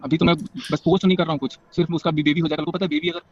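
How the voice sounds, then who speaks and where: A man's voice: fairly high pitch, steady tone, racing. A man chatting casually on a recorded phone call in an agreeable, deferential way.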